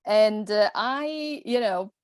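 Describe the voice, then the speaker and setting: A woman's voice: medium pitch, slow, smooth, no echo, clean studio sound. A woman in her forties from Italy with an Italian accent, a podcast guest telling her story with many fillers and restarts. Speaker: guest, personal stylist. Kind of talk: podcast conversation.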